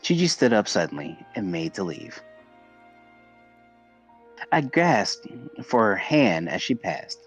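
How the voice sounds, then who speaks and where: A man's voice: on the low side, sing-song, slow, long pauses, faint music behind. A man reading visual novel narration aloud as a streamer, occasionally stumbling and rereading words.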